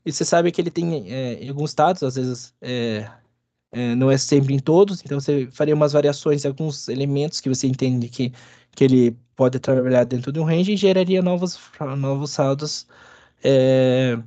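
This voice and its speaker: A man with a bit of a cold, giving a halting, filler-heavy live coding lesson at his computer. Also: mid-range voice, dark tone, no echo. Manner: halting, many restarts and fillers. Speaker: instructor. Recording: dry, dull lo-fi sound.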